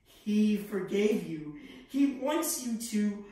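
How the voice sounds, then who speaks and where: Teen girl doing calming servant, placating, slow, lots of echo. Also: mid-range voice. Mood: soothing. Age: teenager. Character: soothing male servant calming his master. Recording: echoey room, distant microphone.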